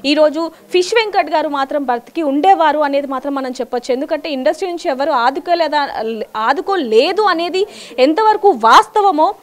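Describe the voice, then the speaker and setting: A woman's voice: high-pitched, fairly quick. A woman reporting live news from the field in a wordy, emphatic way.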